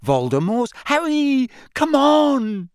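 A man as a high, frightened teenage girl. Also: big pitch swings, takes it slowly, clear, resonant tone, no echo, studio quality, heavily acted. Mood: panicked urgency.

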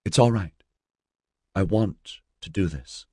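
A man's voice: low-pitched, slow pace, darkish tone, breathy edge, no room sound, studio quality, exaggerated voice. A man voicing a distraught, naive twenty-year-old woman student in an audiobook, pleading and woebegone. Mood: pleading, bewildered.

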